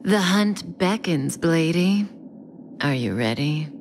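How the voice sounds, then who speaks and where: A sultry anime game villainess with a low voice and lilting swings of pitch. She is coy and self-assured, with faint noise behind. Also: animated, slow, exaggerated voice. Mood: sultry, teasing.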